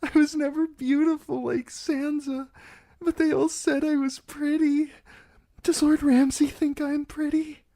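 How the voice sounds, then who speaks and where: A woman voicing a frightened young bride who pleads in a high, trembling, breathy voice. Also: fairly even intonation, slow, warm tone. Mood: desperate.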